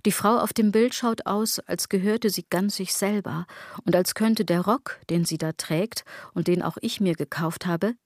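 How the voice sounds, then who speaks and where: A woman's voice: medium pitch, unhurried, no room sound, studio quality. An actress playing a self-critical woman who muses ironically in a literary radio drama monologue. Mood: self-deprecating, brooding. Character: self-critical woman brooding obsessively over clothes, body and gods.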